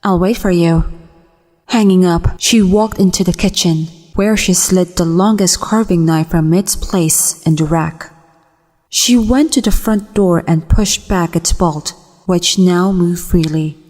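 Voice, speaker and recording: A woman giving a dramatic reading of a horror story in a measured, suspenseful manner. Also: on the low side, a little mellow. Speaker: voice actor. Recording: long reverb, music underneath. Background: music.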